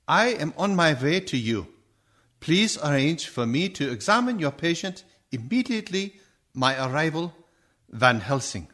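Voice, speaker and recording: An actor in a radio horror play playing a grave old professor of medicine, husky, halting and ominous in formal phrasing. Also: male voice, on the high side, a bit bright, exaggerated voice. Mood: brisk, formal.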